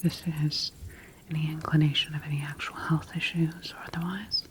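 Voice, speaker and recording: A woman playing a calm, clinical scientist in an ASMR roleplay, whispering breathily in a slow, gentle, measured way. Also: low-pitched, a little hiss or hum, close to an everyday voice. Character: calm, clinical female scientist examining her engineered creation.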